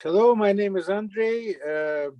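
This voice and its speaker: A man's voice: high-pitched, measured pace, no room sound, lo-fi sound. A man from Russia, introducing himself modestly in an online meeting. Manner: modest, laughs easily. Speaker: physician. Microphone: video call.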